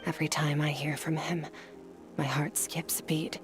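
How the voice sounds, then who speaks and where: A woman playing a stoic young swordswoman in a video game, somber and driven, speaking in a grave, measured way. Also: low-pitched, little pitch movement, music in the background, close to an everyday voice. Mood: somber, fearful. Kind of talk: scripted video game voice acting.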